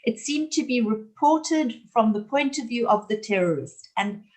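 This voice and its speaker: A woman's voice: on the high side, animated, a little mellow. Earnest woman author. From South Africa. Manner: earnest, emphatic, speeds up and slows down. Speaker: author and guest. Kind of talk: conversational interview.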